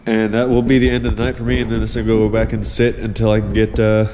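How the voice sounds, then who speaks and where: A man's voice: lowish voice, completely flat delivery, long reverb tail, noisy background. A young man with a badly stuffed-up, nasal voice from allergies, rambling casually and cursing through a garage motorcycle walkthrough. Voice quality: nasal, plugged-up. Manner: rambling, profane, halting with many uhs. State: congested, stuffed-up nose from allergies. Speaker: motorcycle DIY vlogger. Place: home garage workshop.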